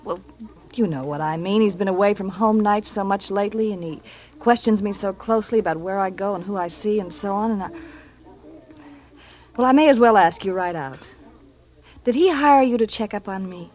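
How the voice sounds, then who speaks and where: A woman's voice: mid-range voice, moderate pace, darkish tone, natural voice, low-quality recording. Anxious ex-actress wife, a woman acting, breathless and pleading, old radio broadcast sound. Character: anxious young wife, former actress, secretive. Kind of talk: 1940s radio drama acting.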